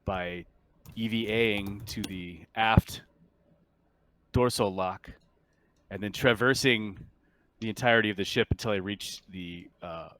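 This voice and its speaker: A man voicing a polite, guarded ship's android in a tabletop game, apologetic and measured in manner. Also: lowish voice, a bit bright, a little hiss or hum. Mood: matter-of-fact, courteous. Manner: measured, conciliatory, formally courteous. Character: polite, guarded, apologetic android named James minding a ship. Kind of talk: improvised tabletop roleplay acting.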